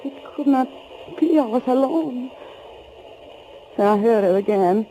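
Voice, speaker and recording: A woman's voice: fairly high pitch, a little slow, mellow, lightly acted. An actress playing a frightened, tense woman on the run, narrating her ordeal with dramatic 1940s radio thriller acting. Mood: dread. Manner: dramatic, breathless, suspenseful delivery. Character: frightened young woman fugitive named Anna, tense, recounting her ordeal. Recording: noisy old 1940s radio recording.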